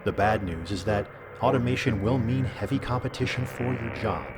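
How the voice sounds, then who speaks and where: A man playing an all-knowing abyss that gives solemn, deliberate, deadpan advice in a scripted comedy audio drama. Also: lowish voice, heavy background noise, lightly acted. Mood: dry, knowing. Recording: loud music bed under voice.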